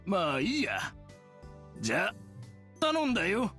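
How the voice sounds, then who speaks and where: A man's voice: high-pitched, huge pitch swings, a little fast, bright, forceful tone, faint music behind, exaggerated voice. An actor playing a friendly older male shopkeeper in a Japanese visual novel. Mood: curious, casual. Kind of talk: Japanese game voice acting.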